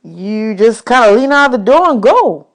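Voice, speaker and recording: A woman's voice: a little high, sing-song, a little slow. A woman voicing an eager, streetwise runaway boy with folksy, slangy talk in a storybook reading. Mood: excited, admiring.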